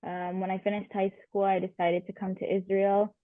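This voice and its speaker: A woman's voice: mid-range voice, level tone, moderate pace, no echo. A woman in her twenties who grew up in the United States, introducing herself casually as a reserve officer, with fillers. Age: 20s. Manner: casual, with fillers and self-corrections. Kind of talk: self-introduction in an interview. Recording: tinny phone-like line.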